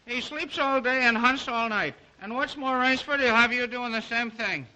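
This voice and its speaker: A man's voice: very high-pitched, measured pace, sharp tone, slight background noise, heavily acted, low-quality recording. An actor playing a flippant, wisecracking male guest, teasing and slangy in an old 1930s comic style. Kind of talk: 1930s film-era comic relief acting.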